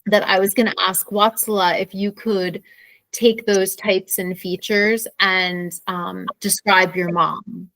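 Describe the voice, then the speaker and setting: A woman's voice: medium pitch, little pitch movement, slow pace, fairly bright tone, dry recording, low-quality recording. An American woman co-hosting an informal English lesson in a conversational teaching style. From Pennsylvania, USA.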